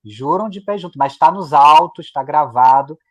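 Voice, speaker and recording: A man's voice: a little high, normal speed, highs cut off. A man, co-hosting a live online discussion and driving his points home by repeating them. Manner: emphatic, repeating points for weight. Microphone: video call.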